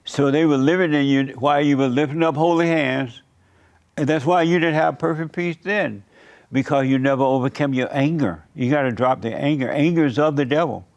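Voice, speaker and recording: A man's voice: fairly high pitch, a little fast, highs cut off. A man hosting an interview and giving preacher-like counsel in a blunt, assured and insistent way, repeating his points. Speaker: talk show host.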